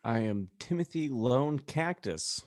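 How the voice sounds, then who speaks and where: A man with a medium-pitched voice joins casual comedic podcast banter. He co-hosts in a teasing manner, dropping short jabs. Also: lively intonation, takes it slowly, a little mellow. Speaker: podcast co-host.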